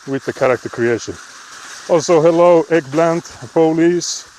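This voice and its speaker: A man's voice: a little high, measured pace, a little mellow, a little hiss or hum, rough recording. A man with a Finnish accent, chatting casually and laughing often while live-streaming on a frozen lake. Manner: chatty, laughs often, reads chat aloud.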